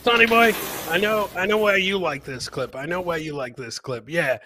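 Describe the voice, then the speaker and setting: A man's voice: sits high, moderate pace, pushed and bright, slight background noise. A middle-aged man chatting and joking as he hosts live reactions to viral clips, often repeating his phrases. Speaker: comedy host.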